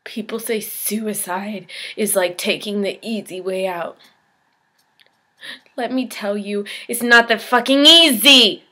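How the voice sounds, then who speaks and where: A woman performing a dramatic monologue as a despairing young woman, speaking in a halting, emotional confession. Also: mid-range voice, fairly even intonation, husky. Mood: angry, anguished. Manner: halting, emotional, swinging from hushed to anguished. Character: despairing suicidal young woman baring her pain in confession.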